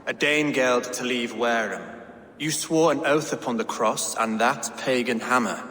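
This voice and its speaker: A man's voice: medium pitch, normal speed, a bit bright, reverberant, in a large hall, slight background noise, natural voice. A man playing a calculating, coolly menacing king. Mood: cold. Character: calculating, composed Saxon king.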